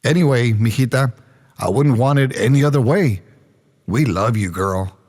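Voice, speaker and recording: Man acting a mid-pitched proud joking father. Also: moderate pace, darkish tone, breathy edge, close to an everyday voice. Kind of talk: audio fiction drama acting, toast.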